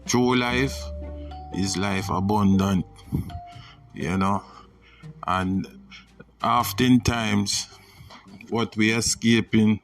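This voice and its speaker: Jamaican guy doing a slow motivational podcast ramble, pauses a lot, bit far from the mic, music behind him. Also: sits low. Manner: reflective, pausing often, repeats "you know". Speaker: podcast host. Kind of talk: casual motivational monologue.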